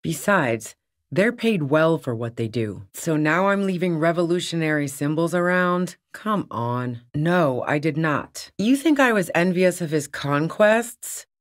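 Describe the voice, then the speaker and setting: A woman's voice: low-pitched, lively intonation, a little slow, no echo, studio quality. A woman voicing a tough, cynical, streetwise smuggler on the run in a video game, with a blunt, sardonic manner. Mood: dry, matter-of-fact, then blunt, dismissive. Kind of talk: video game character dialogue.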